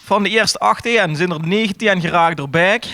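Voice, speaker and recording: A man's voice: high voice, moderate pace, pushed and bright, dry recording. A man with a Dutch Limburg accent, co-hosting a podcast with casual chat and informal storytelling. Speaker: podcast co-host.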